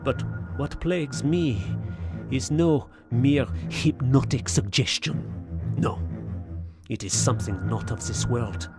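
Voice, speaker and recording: An actor playing a tormented, obsessive man in an audio horror drama, intense and theatrical, halting and then feverish. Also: mid-range voice, vocal fry, music in the background. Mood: brooding dread. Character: tormented, obsessive Frenchman haunted by an invisible being.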